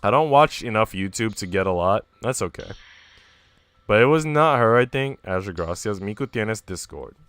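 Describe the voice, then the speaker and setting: A man's voice: on the low side, lively intonation, moderate pace, a bit bright, no echo. A man live streaming in an informal, slangy way, moving between casual chat and reading aloud.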